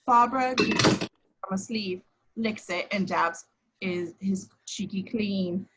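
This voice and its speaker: A woman's voice: mid-range voice, takes it slowly, heavy background noise. A woman reading out scripted action descriptions as narrator at an amateur table read.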